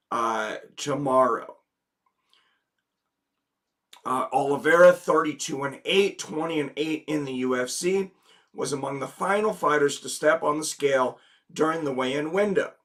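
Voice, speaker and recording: Guy streaming live, kinda hoarse from a cold, higher-ish voice, chatting with viewers and reading news off the screen. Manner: chatty, greets viewers, reads an article aloud. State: slightly hoarse from a head cold. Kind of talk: casual live stream chat. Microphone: desk microphone.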